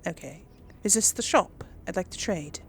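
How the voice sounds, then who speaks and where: Creaky-voiced woman chatting through a game. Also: on the low side, lively intonation, moderate pace, a little hiss or hum. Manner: musing aloud, often trailing off. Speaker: gaming video host. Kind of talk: casual chatty gameplay commentary.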